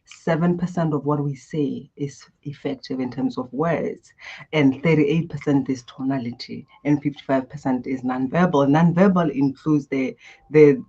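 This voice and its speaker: Expressive woman. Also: very deep voice, moderate pace, breathy edge, highs cut off. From Botswana. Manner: expressive, guides listeners through breathing. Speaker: guest coach. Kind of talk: animated interview answers.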